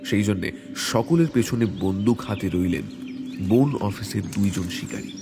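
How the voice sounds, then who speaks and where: A man reading a hunting adventure as a dramatic audio story, in a measured, suspenseful way. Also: lowish voice, lively intonation, noisy background. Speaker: story narrator. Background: background music.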